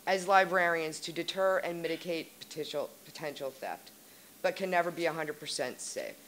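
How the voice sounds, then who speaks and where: A woman librarian on a symposium panel, reading prepared remarks and then commenting off the cuff in an earnest, halting way. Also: fairly low pitch, a bit bright. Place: conference room.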